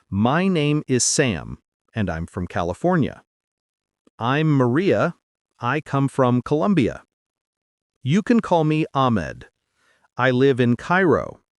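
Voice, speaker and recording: Male podcast host teaching English: slow, clear, upbeat, lively pitch, dry close mic. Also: dry recording. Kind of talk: scripted English lesson.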